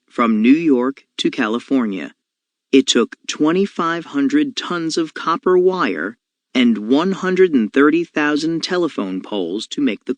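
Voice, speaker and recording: A man narrates educational material as a read-aloud. He takes his time at a slow pace while his pitch moves in an animated way, and the recording is dry. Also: dry recording. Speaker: reader of captions and timeline.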